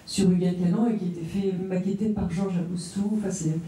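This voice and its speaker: A woman moderates an art panel, often agreeing briefly and restarting her phrases. Her voice sounds dark and warm. She is picked up from far off in a strongly reverberant room. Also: fairly low pitch, fairly even intonation, brisk, a little hiss or hum. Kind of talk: round-table discussion. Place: gallery room. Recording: echoey room, far from mic.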